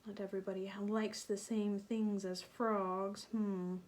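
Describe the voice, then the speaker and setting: A woman's voice: medium pitch, measured pace. A woman reading a picture book aloud to young children, expressively, with asides and questions to her listeners. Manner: expressive, with sound-effect emphasis and questions to listeners.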